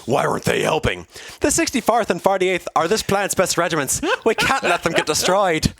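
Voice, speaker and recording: A man's voice: medium pitch, animated, fairly quick, gravelly, breathy edge, no echo, exaggerated voice. A man voicing a furious, loyal army sergeant, speaking with indignant force in an amateur story reading. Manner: indignant, forceful military speech.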